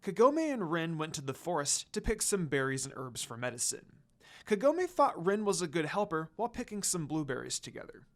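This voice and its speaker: A woman reading fan fiction aloud as an expressive storyteller. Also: deep voice.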